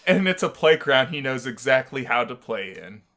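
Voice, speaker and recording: A man narrating a visual novel aloud as a gaming host, with expressive, theatrical storytelling. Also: mid-range voice, normal speed.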